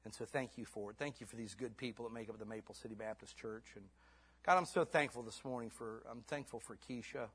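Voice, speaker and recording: A husky-voiced man in his fifties preaches to a church congregation. His delivery moves from soft, close asides to fervent, rising appeals, and he ends in prayer. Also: medium pitch, fairly quick. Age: 50s. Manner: swings between hushed and fervent. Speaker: pastor. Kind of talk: sermon ending in prayer.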